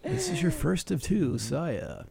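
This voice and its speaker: A man's voice: sits high, huge pitch swings, a little slow, vocal fry, a little mellow. A man hosting a casual interview show, joking and teasing in a crude way. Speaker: show host.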